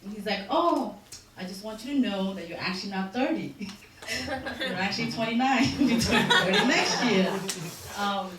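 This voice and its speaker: A woman in her thirties, a community organizer, talking informally to doctors with lively, laughing, self-deprecating asides. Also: mid-range voice, normal speed. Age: 30s. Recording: very echoey hall audio from far off, with noise.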